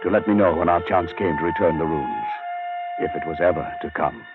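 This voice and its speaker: A man's voice: sits low, fairly quick, close to an everyday voice. An actor playing a haunted, terrified man telling of his doom in a dramatic, brooding old-time radio horror style. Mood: grim dread. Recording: muffled old radio recording with music.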